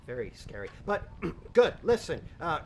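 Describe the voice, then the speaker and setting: An actor playing a meek, nervous young husband in an audio drama, hesitant and stammering. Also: male voice, a little high, sing-song, moderate pace, darkish tone, a little hiss or hum.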